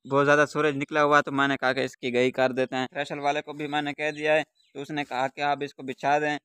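A man's voice: a little high, little pitch movement, brisk, a bit bright, clear, resonant tone, no echo. A young man from Pakistan talking to a handheld camera outdoors in a sunny farm village, chatting casually to his viewers and repeating stock vlog phrases as he works. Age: young adult. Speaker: village vlogger. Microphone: handheld camera at arm's length.

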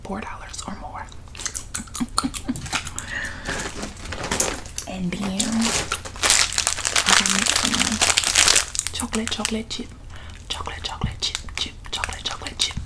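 A young woman talks in a soft whisper, rambling in a loose, chatty way and laughing now and then. Her pitch sits in the middle. She is in a living room, facing a camera close in front of her. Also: level tone, takes it slowly, long pauses. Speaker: ASMR vlogger. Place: living room with couch, indoors.

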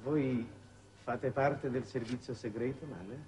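An actor playing a shrewd, weary middle-aged statesman in a 1960s Italian television drama, speaking in formal, measured phrases. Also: medium pitch, darkish tone, lightly acted. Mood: probing, ironic. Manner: measured, formal, deliberate oratory. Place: television studio set. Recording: noisy old 1960s television soundtrack.

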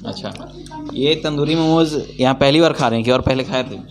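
A young man from Bihar chats with diners in a small restaurant, asking short, friendly questions into a handheld mic. His voice sits at an ordinary pitch. Clear music plays behind him. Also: fairly quick. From Bihar, India. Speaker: food vlogger. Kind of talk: casual restaurant interviews.

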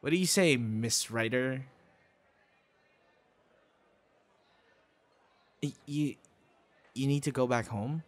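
A man's voice: mid-range voice, moderate pace, close to an everyday voice. A man acting a shy, kind young boyfriend in an ASMR roleplay, speaking conversationally with hesitations and stammers. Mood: flustered.

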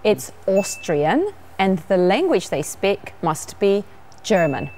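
A woman's voice: mid-range voice, very animated, slow pace, a little mellow, slight background noise. A young woman from Georgia with a Georgian accent, co-hosting a televised English lesson in a studio, cheerful and laughing often. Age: young adult. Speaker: English teacher co-host. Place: TV studio set.

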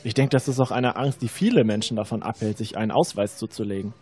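A man's voice: fairly low pitch, fast, a little mellow, husky. A young man playing a sceptical, questioning university student in a scripted educational audio drama, with a rehearsed delivery. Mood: sceptical, uneasy. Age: young adult. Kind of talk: scripted educational radio-play dialogue.

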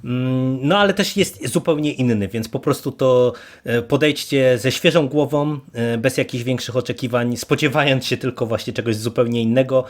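A man's voice: medium pitch, moderate pace, hardly any pauses. A man hosting a podcast, discussing and reviewing in a casual, hesitant way with many repeated words. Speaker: podcast host.

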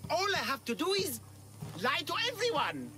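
A man voicing a hapless, cowardly villager who poses as a monster slayer, theatrical, bumbling and excitable, in a video game. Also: very high voice, normal speed, music in the background, exaggerated voice. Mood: hopeful, scheming. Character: hapless, melodramatic, cowardly male villager posing as Minotaur slayer. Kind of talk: video game character acting.

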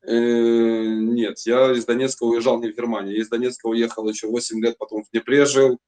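A man's voice: medium pitch, little pitch movement, brisk, a little mellow. Rambling middle-aged man from Donetsk. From Donetsk, Ukraine. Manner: rambling, full of fillers and restarts. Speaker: chat roulette guest. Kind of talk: long personal storytelling. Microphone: webcam video call.

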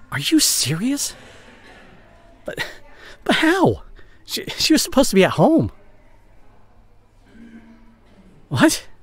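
A man's voice: on the high side, exaggerated voice. A man playing an evasive, defensive boyfriend with a drinking habit in an audio roleplay, speaking in a hesitant, one-sided conversation. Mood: panicked, distraught. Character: evasive drinking boyfriend, dismissive then guilt-stricken.

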